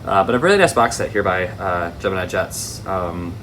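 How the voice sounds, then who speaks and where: A steady air-conditioning hum sits behind a man's low voice. He talks casually, like a hobbyist presenting an unboxing to viewers. His delivery rambles and tends to wander off on tangents. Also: normal speed. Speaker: hobby video host.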